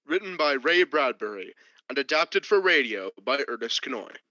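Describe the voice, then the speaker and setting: A man's voice: mid-range voice, big pitch swings, normal speed, sharp tone, no echo, dull audio. A man playing a solemn, portentous announcer for an old-time radio science fiction series.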